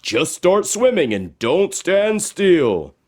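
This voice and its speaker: A man's voice: sits high, big pitch swings, slow pace, darkish tone, pitched above the performer's own voice, heavily acted. A man voicing a pair of cheerful, bossy magic shoes that give encouragement in a storybook. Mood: encouraging. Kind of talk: storybook character voice.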